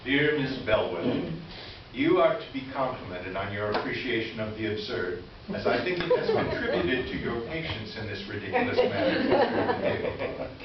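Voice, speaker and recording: A man's voice: medium pitch, very animated, moderate pace, a crowd in the background, close to an everyday voice. Man playing an aggrieved employee, very echoey. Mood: earnest, aggrieved. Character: earnest, wronged store employee nearing retirement, confessing. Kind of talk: staged reader's theater, reading letters aloud. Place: indoor live stage presentation. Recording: echoey room, distant mic, muffled, noisy.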